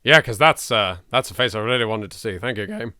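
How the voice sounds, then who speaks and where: Animated, bright-voiced English man doing game commentary. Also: fairly low pitch, a little fast, smooth, no echo, clean studio sound. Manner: theatrical, exasperated, sweary. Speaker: gaming video host. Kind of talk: let's play commentary with dramatic reading aloud.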